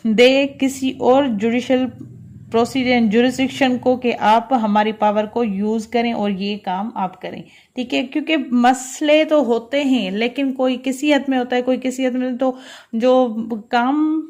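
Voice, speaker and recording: A woman lecturing in a halting, deliberate way, alternating between reading and explaining, mixing in English terms. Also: on the high side, fairly even intonation, a little mellow. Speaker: law lecturer.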